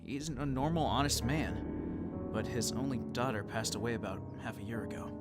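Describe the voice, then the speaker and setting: A young gangster's right-hand man performed by a man for an anime dub. He is courteous and matter-of-fact, speaking at a medium pitch. Clear background music accompanies him. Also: moderate pace, close to an everyday voice. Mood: businesslike. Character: polite, businesslike young male gangster subordinate. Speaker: voice actor in a fan dub.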